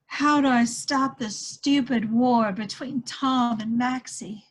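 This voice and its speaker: A woman's voice: on the high side, fairly even intonation, slow. A woman playing the writer of a tender soldier's letter home in an amateur play reading over a video call. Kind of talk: amateur play table read.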